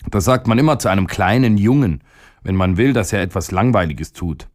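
A man's voice: fairly low pitch, brisk, darkish tone, close to an everyday voice. A man voicing a cheeky, stubborn little boy in a storybook reading, speaking with defiant indignation. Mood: indignant.

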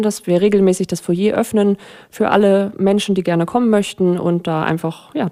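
A woman's voice: fairly low pitch, warm tone. A woman presenting a theatre's programme in an informal, enthusiastic, conversational way. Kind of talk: informal spoken programme announcements.

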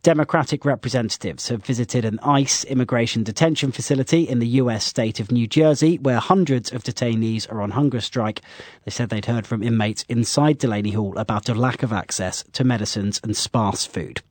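A man reads a radio news bulletin. His delivery is crisp and formal. The studio sound is dry and close. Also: mid-range voice, fairly quick, a little mellow, no room sound. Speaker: newsreader.

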